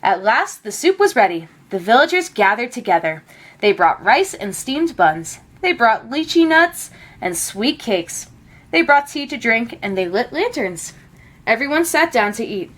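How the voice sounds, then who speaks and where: A woman narrates a children's picture book. Her voice sits fairly high and moves widely in pitch, with measured, expressive storytelling.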